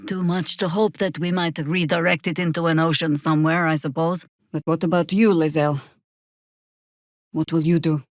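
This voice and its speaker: A woman playing a seasoned, wry, world-weary warrior druid in a video game, speaking in a dry, sardonic, measured way. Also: low-pitched, fairly even intonation, no room sound, natural voice. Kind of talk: dramatic video game character dialogue.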